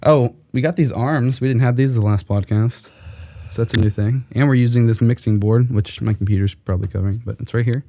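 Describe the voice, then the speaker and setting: A man's voice: medium pitch, brisk, mellow, slight background noise. A young man co-hosting a podcast in laid-back casual chat, congested and struggling to breathe, mumbling and trailing off. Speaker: podcast co-host.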